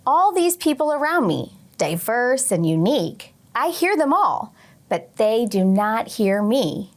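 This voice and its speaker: A woman teacher reading a picture book aloud to young children expressively, pausing for short teaching asides. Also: fairly high pitch, very animated. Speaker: teacher and read-aloud host.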